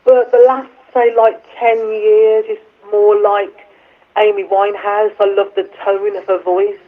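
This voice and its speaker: A woman singer with a deep voice, chatting on the phone in an interview, a bit hesitant and self-deprecating, with plenty of fillers. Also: little pitch movement, a little slow. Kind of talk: casual chat over the phone. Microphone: telephone. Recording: tinny phone line.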